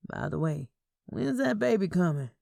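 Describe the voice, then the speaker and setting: A woman's voice: on the low side, very animated, normal speed, vocal fry, mellow, no echo, clean studio sound, heavily acted. A woman voicing an easygoing, teasing husband in an audio story.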